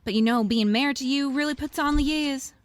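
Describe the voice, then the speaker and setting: A woman's voice: sits high, brisk, lightly acted. A woman playing a glamorous, sardonic rich wife in a noir radio drama, delivering sugary sarcasm. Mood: sweetly sarcastic.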